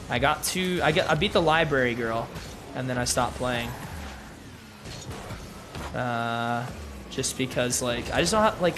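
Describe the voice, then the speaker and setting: A man's voice: medium pitch, background noise. A young man streaming live, chatting casually with his viewers and reading their messages aloud, in a laid-back, filler-heavy way. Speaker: gaming streamer.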